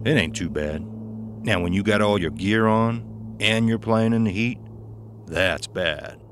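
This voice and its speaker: A man's voice: on the low side, a little slow, noisy background, natural voice. An actor playing a confident, stubborn, strong young man in a horror audio drama. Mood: confident, easygoing.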